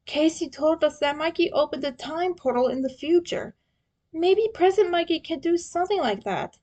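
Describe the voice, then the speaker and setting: A woman voicing a wounded young male turtle in a story, teasing and sentimental, with an emotional, halting delivery. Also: extremely high pitch, animated, dark tone, voice pushed up. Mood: wistful.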